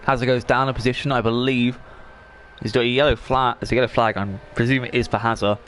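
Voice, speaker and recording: A man's voice: mid-range voice, level tone, fast talker, a bit bright, a little hiss or hum. A man commentating a sim racing league race in a chatty, rambling way, while he is losing his voice. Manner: chatty, rambling, frequent fillers and restarts.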